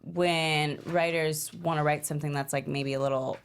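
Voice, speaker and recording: Low-voiced woman giving casual, emphatic notes. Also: steady tone, normal speed, smooth. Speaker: panelist giving script notes at a table read. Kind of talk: casual workshop discussion.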